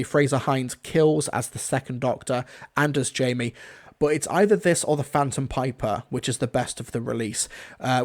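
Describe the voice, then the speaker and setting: A man reviews off the cuff for a livestream. His voice sits at an average pitch, and he rambles along with clear enthusiasm. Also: a little mellow.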